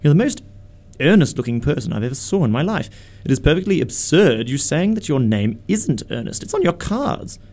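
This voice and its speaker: A flippant, idle young English gentleman in a Victorian radio comedy, acted by a man. He talks in arch, ornate phrases, his slightly high, mellow voice swinging up and down. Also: big pitch swings, fairly quick. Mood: triumphant, needling. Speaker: amateur radio theatre actor. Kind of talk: radio play acting of a Victorian comedy of manners.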